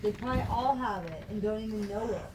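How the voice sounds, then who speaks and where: A woman's voice: medium pitch, fast, a little mellow. A woman in casual household conversation, caught at a distance from the microphone at home. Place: at home, away from the mic. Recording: very noisy, distant household background talk.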